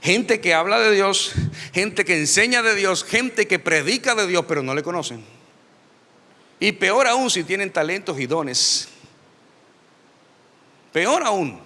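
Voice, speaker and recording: A middle-aged man preaching a lively sermon to a church, emphatic and repetitive, with touches of humor. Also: sits high, slow, long pauses, big-room sound. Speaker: pastor.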